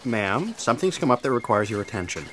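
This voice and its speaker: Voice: medium pitch, very animated, quick pace, over loud music, lightly acted. An actor playing a dutiful, formal, wordy office aide in a comic sci-fi audio play. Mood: dutiful, pressed. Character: efficient, formal, long-winded office aide.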